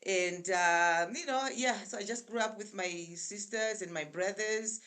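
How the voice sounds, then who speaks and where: A Zimbabwean woman in her sixties, a guest on a remote call, telling her life story in an unhurried, chatty way with frequent pauses. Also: mid-range voice, a bit bright. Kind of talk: casual storytelling interview.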